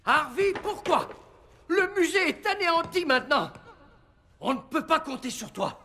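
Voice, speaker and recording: High-pitched, theatrical cartoon dad. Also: male voice, expressive, measured pace, sharp tone, a little hiss or hum, exaggerated voice. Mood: exasperated, incredulous. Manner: theatrical, scolding, incredulous. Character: exasperated, distrustful father who runs a museum. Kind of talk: animated cartoon dubbing.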